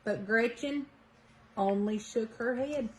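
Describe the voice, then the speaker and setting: High-pitched woman reading a storybook slowly. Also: a little hiss or hum, rough recording. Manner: deliberate, dramatic emphasis. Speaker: children's storyteller at a library story time. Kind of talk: expressive read-aloud of a picture book.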